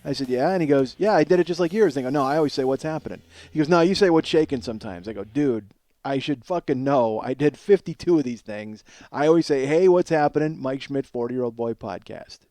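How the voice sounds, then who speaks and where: A man in his forties with a slightly hoarse voice, hosting a podcast as a casual comedic monologue, rambling, profane and self-deprecating. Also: medium pitch, animated, quick pace, dark tone, dry recording. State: slightly hoarse from strained singing. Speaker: podcast host.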